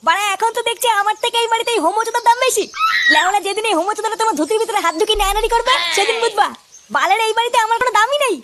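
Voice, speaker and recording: A hot-tempered young village man who rattles off crude, shouting rants in a tiny, squeaky cartoon voice. He talks blisteringly fast, and there is a faint hiss behind him. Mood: angry ranting. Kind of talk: comic cartoon dubbing.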